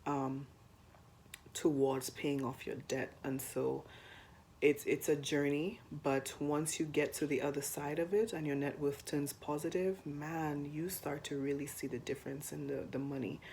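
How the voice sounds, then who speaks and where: A young woman co-hosting a personal finance chat to camera, hoarse while getting over the flu, chatty with frequent ums. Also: deep voice, steady tone, measured pace, a little mellow, a little hiss or hum. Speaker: co-host of a personal finance channel.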